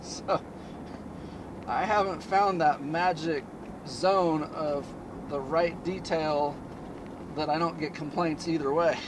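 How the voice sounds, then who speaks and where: A man hosting a DIY car channel, chatting casually in a flat, low-key monotone from inside a moving car. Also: slow, noisy background. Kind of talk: casual vlog talk while driving.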